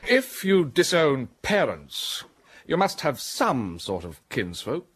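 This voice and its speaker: An actor playing an imperious gentleman in a radio drama, questioning curtly. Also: medium pitch, wildly swooping pitch, slow, husky, exaggerated voice. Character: brusque, commanding gentleman of the manor.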